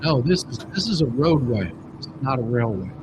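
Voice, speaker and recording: A man hosting a casual live stream, talking haltingly and often repeating his words. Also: mid-range voice, sing-song, noisy background.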